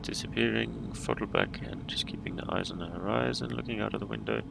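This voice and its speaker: Gravelly low-voiced man narrating a flight. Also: fairly quick, whispered, rough recording. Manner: talks through checklists and landings step by step, playful asides. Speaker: flight simulator streamer. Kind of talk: casual gameplay commentary.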